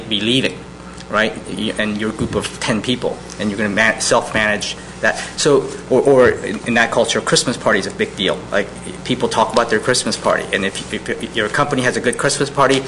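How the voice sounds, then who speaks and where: A man's voice: fairly low pitch, fairly bright tone, a little hiss or hum, poor audio. Man who stumbles over repeated starts. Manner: repeats words when starting sentences. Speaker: panelist. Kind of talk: panel discussion.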